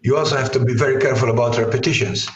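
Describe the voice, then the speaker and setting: Man in his sixties, medium pitch, voice partly gone, halting explanations, roomy echo. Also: fairly even intonation. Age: 60s. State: partly lost voice. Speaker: guest, author and executive coach. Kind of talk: conversational interview answers. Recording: roomy, echoey sound.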